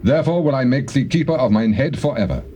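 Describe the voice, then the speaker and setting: Actor as an animated, imperious king. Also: medium pitch, fast talker, close to an everyday voice. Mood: commanding. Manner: declamatory. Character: trusting, commanding foreign king, satisfied and imperious. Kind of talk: dramatized scripture audio drama. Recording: noisy old cassette recording.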